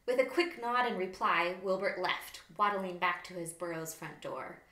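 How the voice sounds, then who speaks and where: A woman's voice: fairly low pitch, moderate pace, a bit bright. A woman in her thirties, a children's author, reading a storybook aloud expressively in a small room. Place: small plain room indoors. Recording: roomy, echoing indoor room.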